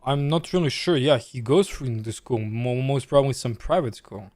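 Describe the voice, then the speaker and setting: A man's voice: medium pitch, normal speed, darkish tone, dry recording, clean studio sound. A man from Serbia with a Serbian accent, a chess streamer and coach chatting casually, answering viewers' questions as he plays. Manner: chatty, reads chat aloud and answers while playing. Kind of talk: casual live-stream commentary.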